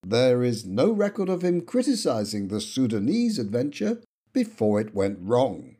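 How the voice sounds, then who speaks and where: A man hosting a history podcast, reading a scripted narration with quoted passages in a measured way. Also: mid-range voice, slightly rough. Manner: measured, lively intonation, reading quotations.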